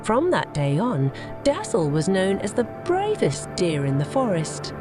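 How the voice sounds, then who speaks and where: A woman tells children's bedtime tales in a scripted storytelling style. Her pitch moves in a lively way, with faint music playing behind her. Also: measured pace.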